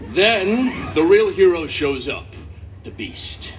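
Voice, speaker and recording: A man playing a gruff, grudging hero who bluntly rattles through a fairy tale in a live outdoor stage show. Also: sits high, very animated, takes it slowly, exaggerated voice. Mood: grudging, sarcastic. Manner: blunt, dismissive, irritable. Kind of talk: live stage comedy storytelling. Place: outdoor theme park stage. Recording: live outdoor show with faint ambient noise.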